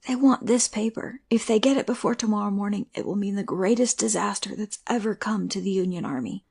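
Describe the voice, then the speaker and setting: A woman playing a desperate, worn-out hunted soldier, pleading urgently in a husky, half-whispered voice in an audiobook play. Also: medium pitch, a little fast, darkish tone. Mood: desperate explaining. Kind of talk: amateur audiobook play acting.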